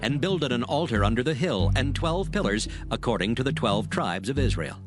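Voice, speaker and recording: A man narrating scripture in a measured, steady reading. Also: medium pitch. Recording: narration over loud music.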